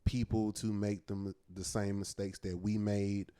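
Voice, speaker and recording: A man with a fairly low-register voice, co-hosting a podcast and telling a story in a loose, earnest way. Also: little pitch movement, measured pace, mellow, smooth. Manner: loose and earnest, peppered with "man" and "you know what I'm saying". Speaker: podcast co-host. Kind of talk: casual storytelling chat.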